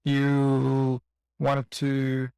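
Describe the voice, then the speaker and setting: A man hosting a podcast interview, asking searching questions in a halting way. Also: medium pitch, monotone, creaky, mellow, clear, resonant tone, dry recording, studio quality. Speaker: podcast host. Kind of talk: casual interview chat.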